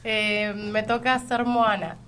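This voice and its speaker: Even-toned woman, faint noise. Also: a little high, slow. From Argentina. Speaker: ice skater, radio guest. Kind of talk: brief casual interview answers. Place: radio studio.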